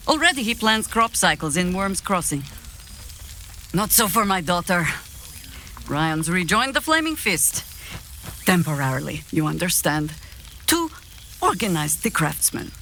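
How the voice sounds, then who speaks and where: An actress playing a middle-aged, seasoned adventurer in a video game, gruffly fond and dryly amused, with a deliberate theatrical delivery. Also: female voice, mid-range voice, lively intonation, sharp tone, a little hiss or hum, exaggerated voice. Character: seasoned wry woman adventurer with grown children, gruffly fond. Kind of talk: video game dialogue acting.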